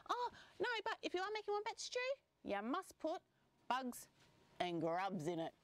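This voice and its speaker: Woman doing an urgent, high-pitched Australian lizard. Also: wildly swooping pitch, moderate pace. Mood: urgent, helpful. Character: urgent, helpful male frilled-neck lizard. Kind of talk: children's storybook character voice.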